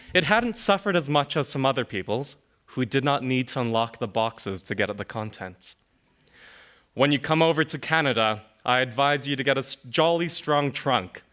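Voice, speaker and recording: A man giving a dramatic reading of an old letter, in a formal, measured storytelling manner. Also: medium pitch, a bit bright. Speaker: reader of an archival letter.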